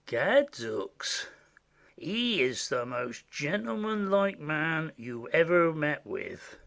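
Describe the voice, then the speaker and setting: A man playing a simple, indiscreet rustic messenger in a classic stage comedy, chatty and confiding in a secretive, halting way. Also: on the high side, sing-song, vocal fry, fairly bright tone, heavily acted. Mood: chatty, pleased.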